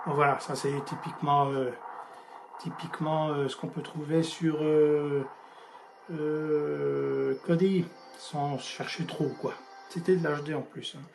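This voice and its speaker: A hoarse man talks through a casual gadget review, pausing often and filling gaps with hesitant sounds. Music plays clearly underneath his voice. He keeps a relaxed, unscripted delivery from start to finish. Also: a little high. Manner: hesitant, many filler sounds. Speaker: tablet reviewer. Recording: music clearly playing behind voice.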